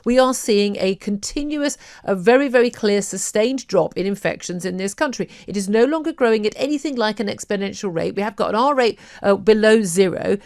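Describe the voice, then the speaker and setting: A woman radio talk show host, arguing her point forcefully in a studio interview. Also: mid-range voice, brisk, few pauses, no room sound.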